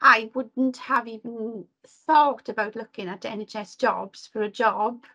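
A woman's voice: fairly high pitch, steady tone, a little slow, sharp tone, poor audio. A woman from Wales giving an earnest work report on a video call, with frequent ums and restarts. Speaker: Welsh language officer reporting to committee.

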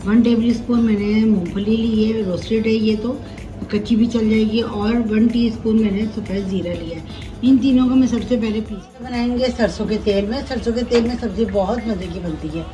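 A woman's voice: fairly high pitch, moderate pace, low-quality recording. A woman with a Pakistani Urdu accent hosting a home cooking video, chatting casually as she explains each step in her kitchen. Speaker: cooking channel host. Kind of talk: casual cooking demonstration voice-over. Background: background music.

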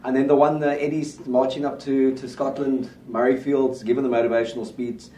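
A man's voice: medium pitch, fairly quick, far from the mic, a little hiss or hum. Confident man giving rugby predictions, roomy room. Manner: confident, steady, lays out predictions. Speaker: rugby pundit. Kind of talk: casual rugby chat. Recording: roomy studio sound, mic set back.

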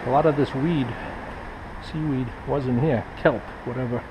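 A man's voice: mid-range voice, steady tone. A man filming himself as he explores a rocky sea cave, talking casually to himself. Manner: muttering remarks to himself, plain and unhurried. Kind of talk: casual spoken commentary while filming. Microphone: head-mounted action camera. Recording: outdoor camera audio with clear background noise.

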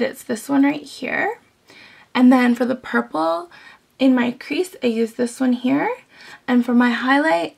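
Hoarse, high-voiced young woman tutoring makeup. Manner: chatty, instructive, frequent "um"s. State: hoarse from illness, losing her voice. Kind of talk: step-by-step beauty tutorial. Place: small bedroom indoors.

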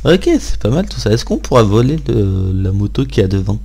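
Low-voiced man doing casual game commentary. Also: very animated, fairly quick, gravelly, dark tone, husky. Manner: informal, thinking aloud. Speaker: gaming video host.

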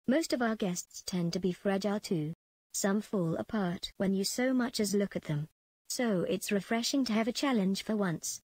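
A woman's voice: medium pitch, normal speed, darkish tone, husky, dry recording, natural voice. An actress playing a ruthless female prison commandant in a space empire, dictating clipped official log entries for a video game. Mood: cold, smug.

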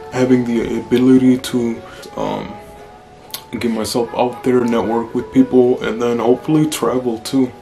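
Deep gravelly young man, flat delivery. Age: 20s. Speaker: vlogger. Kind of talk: casual Q&A vlog. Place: small room indoors.

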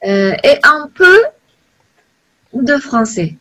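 A woman's voice: a little high, big pitch swings, drawn-out words, sharp tone, a little hiss or hum. Hesitant woman on a video call. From China. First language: Chinese. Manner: hesitant, searching for words. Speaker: learner and organizer. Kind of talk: casual online class chat.

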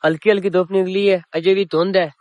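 A man's voice: high voice, level tone, a little fast, a little mellow, muffled recording, soft background music. A young man in his twenties with a Punjabi accent, chatting to his viewers in a casual village vlog, filmed on a phone held at arm's length outdoors on a windy day. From Pakistan. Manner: chatty, addresses viewers as public. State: recovering sore throat. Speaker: village vlogger. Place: open countryside outdoors on a cloudy, windy day.

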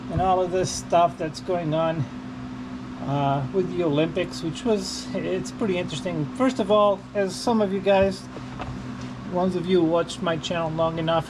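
A man from Portugal, chatting casually in a halting, rambling, unscripted vlog style while he works. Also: high-pitched. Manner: halting, rambling, repeats himself. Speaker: vlogger. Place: working on a car during an oil change. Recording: noisy, with vehicle and ambient hum.

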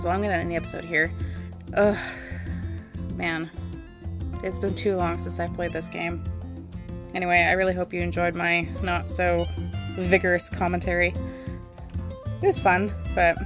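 A woman's voice: fairly low pitch, flat delivery, normal speed, pauses often, over music. A woman giving casual, chatty gameplay commentary as host, often talking aloud to the game's characters. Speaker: gaming video host.

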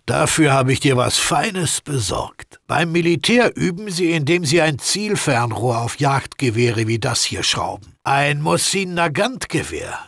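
A man's voice: on the high side, sing-song, measured pace, creaky, hardly any pauses, a bit bright, dry recording, studio quality, heavily acted. An actor playing a friendly middle-aged mob gunsmith in a German game dub, chatty salesman patter that breaks into panicked yelps. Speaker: game character voice clips.

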